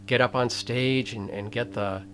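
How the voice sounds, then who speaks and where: An older man speaks at a very unhurried pace, weighing each phrase before he goes on. His pitch sits in an ordinary middle range, and he talks with pauses, restarts and dry asides. It sounds like he is at a desk in a small room, close to a webcam. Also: steady tone, a bit bright, a little hiss or hum. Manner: deliberate, with pauses and restarts, wry. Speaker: video essay host. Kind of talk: slow reflective commentary to camera.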